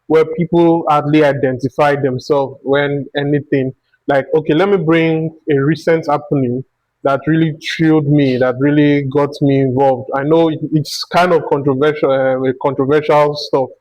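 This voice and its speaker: A man's voice: a little high, steady tone, moderate pace, a little mellow, no room sound, poor audio. A man with a Nigerian accent hosting a conversational interview, thinking aloud in long, winding turns. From Akwa Ibom, Nigeria. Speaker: interview host.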